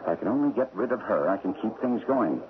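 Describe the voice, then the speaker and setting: A man's voice: on the low side, animated, fast talker, background noise, close to an everyday voice. An actor playing a desperate, ruined investment man in a radio drama, pleading in a theatrical way. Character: desperate ruined male investment expert gambling away clients' money. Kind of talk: 1970s radio drama acting. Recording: muffled old radio recording, loud music.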